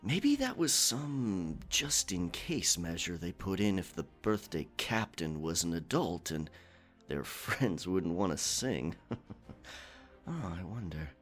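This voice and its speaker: A man playing a boisterous, rum-swigging pirate captain in a roleplay audio drama, with a gravelly voice, theatrical yet hesitant, muttering in pirate slang. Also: fairly low pitch.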